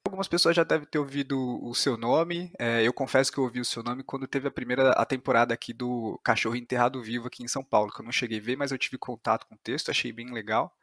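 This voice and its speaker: Male podcast host, Brazilian accent, mid-pitched voice, very dry recording, conversational interview delivery. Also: steady tone, fast talker, hardly any pauses, a bit bright, no room sound.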